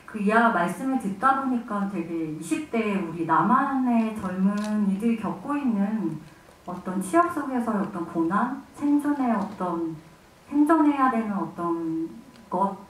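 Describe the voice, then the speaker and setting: Woman, film director, thoughtful, pauses for words, echoey hall, distant mic. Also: mid-range voice, slight background noise. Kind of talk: press conference answers. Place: press conference hall.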